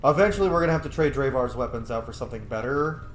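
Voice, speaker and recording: Excitable sweary guy, animated pitch. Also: very fast, reverberant, a little hiss or hum. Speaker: gaming video host. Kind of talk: casual playthrough commentary.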